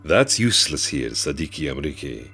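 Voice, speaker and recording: A man voicing a mysterious, mocking spirit in an audiobook, booming yet soft and commanding. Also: very animated, normal speed, faint music behind, heavily acted. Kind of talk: audiobook character voice.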